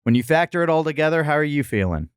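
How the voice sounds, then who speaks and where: A man's voice: on the high side, lively intonation, fast, clean tone, no room sound, clean studio sound. A man co-hosting a podcast in casual, playful, jokey review banter. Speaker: podcast co-host.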